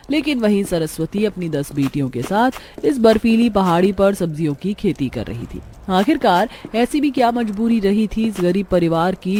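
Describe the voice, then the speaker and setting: A woman's voice: fairly low pitch, dark tone, a little hiss or hum. A woman reading scripted narration for a cartoon story.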